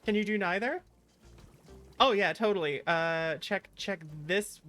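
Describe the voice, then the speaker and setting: A woman's voice: mid-range voice, a little slow, fairly bright tone. A woman livestreamer chatting casually and playfully with her viewers, teasing them as she goes. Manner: playful, teasing, reacts animatedly to chat. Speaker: streamer, virtual YouTuber. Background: background music.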